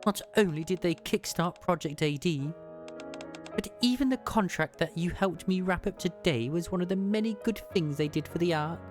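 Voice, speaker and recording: A man voicing an ancient, knowing woman from a video game, who recalls things fondly in measured, formal speech. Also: sits high, soft background music, voice pushed up, close to an everyday voice. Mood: fond, earnest. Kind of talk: read-aloud video game dialogue.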